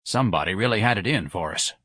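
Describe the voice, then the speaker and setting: A man voicing an impatient, gruff businessman husband in an audiobook. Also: on the low side, lively intonation, fast, pushed and bright, no room sound, studio quality, exaggerated voice. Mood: grim. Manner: impatient, blunt. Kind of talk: audiobook character voice.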